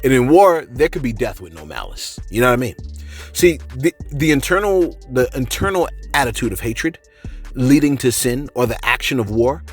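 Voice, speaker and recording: A man hosting a casual commentary show, reading posts aloud and answering viewers with animated, mock-angry bursts. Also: medium pitch, moderate pace, husky, soft background music. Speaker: commentary channel host.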